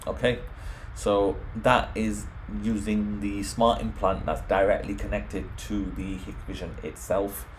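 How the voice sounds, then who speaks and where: A man's voice: fairly low pitch, flat delivery, unhurried, hardly any pauses, slight background noise, poor audio. A man presenting an informal home automation tutorial, explaining things step by step. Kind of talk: informal software screen walkthrough.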